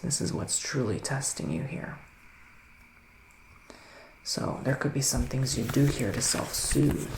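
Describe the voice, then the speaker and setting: A man playing a soothing, earnest male witch psychic in an ASMR roleplay, speaking softly and haltingly, often slipping into breathy whispers. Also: mid-range voice. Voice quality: breathy soft voice drifting into whispers. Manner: hushed, halting, intimate address to listener. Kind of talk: ASMR roleplay, improvised tarot reading. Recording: roomy echo, faint background noise.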